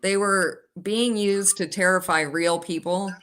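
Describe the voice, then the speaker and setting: A woman's voice: medium pitch, steady tone, unhurried, a bit bright, clean tone. Rambling, speculative woman host. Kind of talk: casual chat on a live stream.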